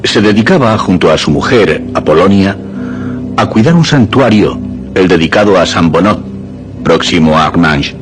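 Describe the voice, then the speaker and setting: A man's voice: low voice, lively intonation, moderate pace. A man narrating in a scripted, documentary-like storytelling style. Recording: music under the voice.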